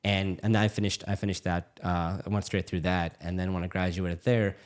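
A middle-aged man from the United States, a physician guest telling stories in a casual interview, quick and full of restarts. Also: sits low. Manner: fast, animated storytelling with many restarts.

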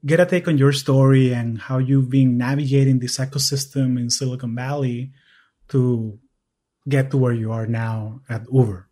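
A man from Venezuela, hosting a podcast interview in an earnest, measured way with some halting restarts. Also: mid-range voice, steady tone, dark tone. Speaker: podcast host.

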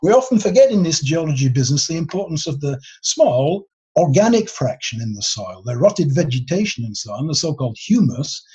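A man explaining his subject informally as an expert being interviewed, in a husky voice. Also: fairly high pitch, sing-song, fairly quick, hardly any pauses, dark tone. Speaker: expert interviewee, geology author. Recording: dull, lo-fi sound.

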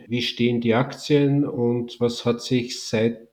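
A man's voice: mid-range voice, level tone, slow pace, darkish tone. A man moderating a casual podcast, with slightly formal turns of phrase.

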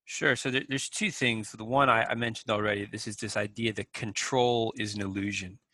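Thoughtful man with a bright, forceful tone. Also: fairly low pitch, steady tone, dry recording. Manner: measured and thoughtful, small restarts. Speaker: podcast guest. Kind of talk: reflective interview answers.

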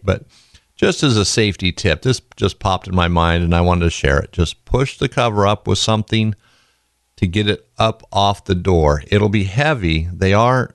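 A man hosting a podcast in a casual, rambling way, his voice raspy and tired from being sick. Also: deep voice, no echo, clean studio sound. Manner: rambling, folksy, goes off on tangents. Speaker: podcast host.

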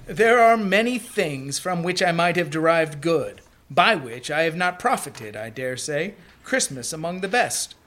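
A woman playing a cheerful, warm-hearted young nephew, earnest and pleading, in a radio play reading. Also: deep voice, lively intonation, unhurried, hardly any pauses.

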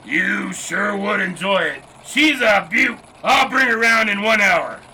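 An actor playing a brash, excitable 1920s newspaper boss, punchy and bossy, in a period audio drama. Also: male voice, sits high, unhurried, noisy background. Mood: proud, jaunty.

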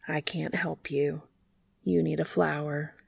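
A woman voicing a sad, weary, self-deprecating male dandelion in a storybook reading, soft and subdued. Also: low voice, level tone, measured pace, vocal fry, breathy edge, no room sound, studio quality, heavily acted. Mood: sad, resigned.

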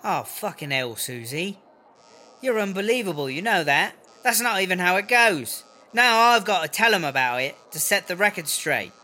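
An actor playing a cocky, rambling, foul-mouthed prison inmate in a comedy mock interview, full of British-style slang. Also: male voice, high voice, big pitch swings, normal speed, bright, forceful tone, heavily acted. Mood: indignant.

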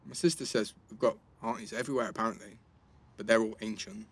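A young man playing a cheeky, guarded teenage boy with a slightly husky voice, bantering in slang in a naturalistic audio drama. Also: fairly low pitch, steady tone, quick pace, fairly bright tone, full character voice.

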